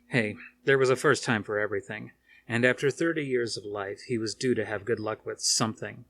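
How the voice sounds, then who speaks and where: A man's voice: on the low side, steady tone, fairly quick, gravelly, a bit bright. A man reading an action horror novel aloud as scripted narration, with a dramatic storytelling manner. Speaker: audiobook reader.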